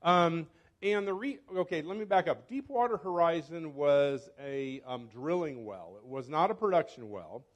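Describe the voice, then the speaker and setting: Older man giving a chatty lecture. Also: a little high. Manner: chatty, self-correcting, fumbles with clicker. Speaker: guest speaker, scientist. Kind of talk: informal lecture. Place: meeting hall. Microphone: handheld or podium microphone.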